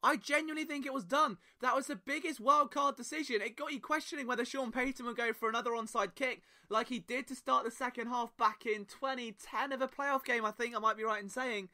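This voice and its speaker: A man's voice: very high-pitched, fairly even intonation, fairly quick, few pauses, sharp tone. A man hosting a solo sports podcast, talking with passion and emphatic rhetorical questions. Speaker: podcast host.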